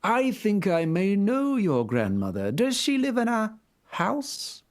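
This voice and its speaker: A reader putting on a cunning hungry wolf, drawn out and sly, pitched above the storyteller's usual voice. The voice sounds set apart from the narrating tone. It is a playful storybook read-aloud. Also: big pitch swings. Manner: slow, sly and smooth. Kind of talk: storybook reading.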